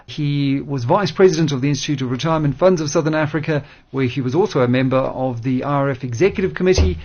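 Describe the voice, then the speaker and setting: A man's voice: a little high, fast talker, a little mellow. Male radio interviewer, slightly dull audio. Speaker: radio show host interviewing a guest.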